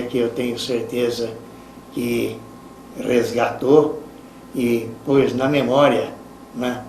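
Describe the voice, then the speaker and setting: A Brazilian man giving a spoken testimonial in a measured, halting way. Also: mid-range voice. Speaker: interviewee giving a tribute. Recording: roomy, distant mic, faint noise.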